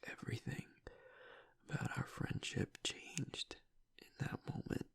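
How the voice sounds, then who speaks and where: A man playing a tough young werewolf pack alpha broken by grief in an intimate audio roleplay, halting and choked up, with a breathy, raspy voice. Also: a bit bright, close to an everyday voice. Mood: burdened, strained. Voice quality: breathy, raspy whisper with gravelly breaks. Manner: halting, fragmented, swearing under strain. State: choked up, grieving.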